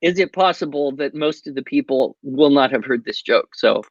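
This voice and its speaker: A man's voice: medium pitch, animated, moderate pace, no echo, dull audio. A man talking over a video call as a guest speaker, chatty and wry, with a habit of telling jokes. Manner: wry joke teller, chatty and digressive. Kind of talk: casual interview chat.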